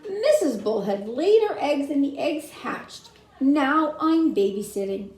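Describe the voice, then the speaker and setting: A woman's voice: sits high, sing-song, a little slow, darkish tone. A woman voicing a cheerful, proud father fish in a children's storybook. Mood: chipper. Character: cheerful, proud male fish fathers guarding their eggs. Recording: roomy echo, faint background noise.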